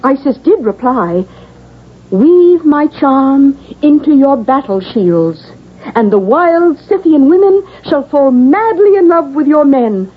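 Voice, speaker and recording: A woman's voice: sits high, sing-song, slow pace, heavily acted. An actress playing a knowing woman who eagerly explains ancient legends in a vintage radio drama. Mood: storytelling. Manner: eager, storytelling. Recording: dull vintage radio broadcast.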